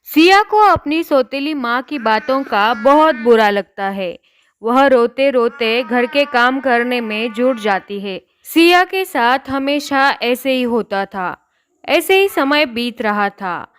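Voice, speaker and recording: A woman's voice: a little high, lively intonation, slow, a bit bright, clean tone. A woman narrating a scripted children's story.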